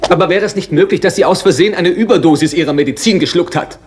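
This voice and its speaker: An actor playing a polite, tentatively insistent gentleman in a radio crime drama. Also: sits high, quick pace, noisy background, close to an everyday voice. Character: polite, insistent gentleman, son of the dead woman. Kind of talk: German detective radio drama acting.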